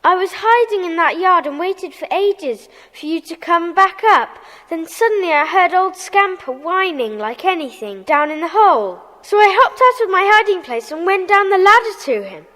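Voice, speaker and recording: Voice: extremely high pitch, sing-song, normal speed, few pauses, bright, forceful tone, exaggerated voice. An actor playing an eager, helpful schoolboy in a children's audio drama. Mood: surprised, eager. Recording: echoey hall reverb.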